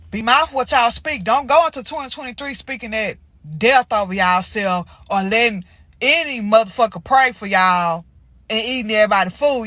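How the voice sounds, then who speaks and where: A woman's voice: on the high side, bright, forceful tone, no room sound. A woman hosting a spiritual channel, talking casually and bluntly to her viewers with slang and profanity, sounding a little congested. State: congested from allergies.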